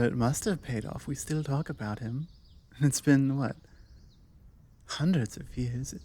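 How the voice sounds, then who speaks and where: A British woman playing a forward, self-assured female vampire in a one-sided, conversational ASMR roleplay, with measured delivery and deliberate pauses. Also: extremely low pitch, warm tone, breathy edge, full character voice.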